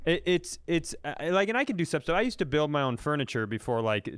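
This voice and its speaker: A man's voice: on the high side, very animated, fast, a bit bright, clean tone, dry recording, studio quality. A man co-hosting a podcast, telling jokey, profane stories in a casual chat and acting out bits of dialogue. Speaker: podcast co-host. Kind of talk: casual comedic chat and storytelling.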